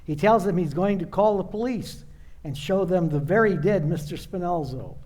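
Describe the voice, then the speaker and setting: A man's voice: sits high, normal speed, darkish tone. A man giving scripted narration between scenes of an old-time style radio play.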